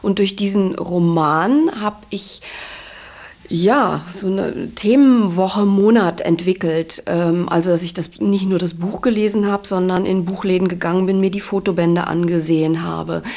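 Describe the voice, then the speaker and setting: A woman's voice: fairly low pitch, a little slow, darkish tone. A woman in her forties speaking spontaneously in an interview, searching for words and repeating herself. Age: 40s. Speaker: actress interviewee.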